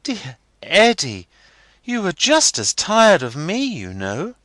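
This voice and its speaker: A man doing a high, girlish young woman. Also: very animated, slow pace, fairly bright tone, dry recording, exaggerated voice. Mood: playful, teasing. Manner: high, sing-song girlish delivery.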